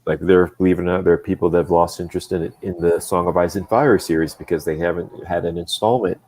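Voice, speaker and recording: A man with a very low, deep voice talks through his thinking in a casual discussion. He restarts his sentences often and picks his words with care. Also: fairly even intonation, darkish tone. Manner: halting, restarts often, measured. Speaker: comics creator.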